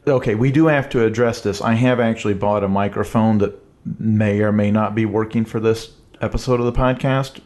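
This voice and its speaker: A man's voice: lowish voice, steady tone, a little fast, darkish tone. A man talking on a casual podcast with dry, mock-boastful humor. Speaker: podcast guest, author.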